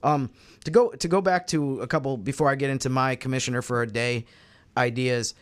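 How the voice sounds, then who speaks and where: A man on a sports podcast panel, explaining his views in a measured, casual analytical way. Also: mid-range voice, fairly even intonation.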